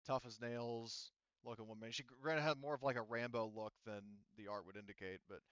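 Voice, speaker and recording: A man with a clean, smooth voice acts as game master, improvising scene description. He talks conversationally and often stops to correct himself. The recording is dry and slightly dull. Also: medium pitch, steady tone, a bit bright, dry recording. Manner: halting, conversational, self-correcting. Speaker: game master of a tabletop roleplaying game. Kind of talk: improvised tabletop game narration.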